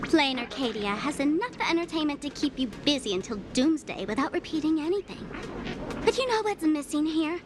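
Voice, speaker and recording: A playful young thrill-seeker from a video game, with a high voice and a dramatic, teasing delivery. She sounds mischievous and scornful of anything dull, and music plays behind her. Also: animated, brisk. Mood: passionate. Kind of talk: animated video game character dialogue.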